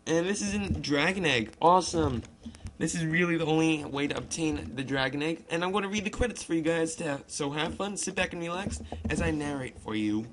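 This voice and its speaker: A man's voice: fairly high pitch, lively intonation, fairly quick, noisy background. A man doing casual game commentary and amateur read-aloud narration, informal, with stumbles and ad-libs. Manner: informal, loosely reads text with stumbles and ad-libs. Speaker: gaming video narrator. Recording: music playing behind the voice. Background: guitar and piano music.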